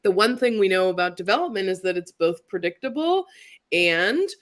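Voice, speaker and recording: A woman's voice: mid-range voice, expressive, normal speed. Host, female, reflective and conversational, video-call audio, asks open questions. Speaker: livestream host. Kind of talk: conversational interview.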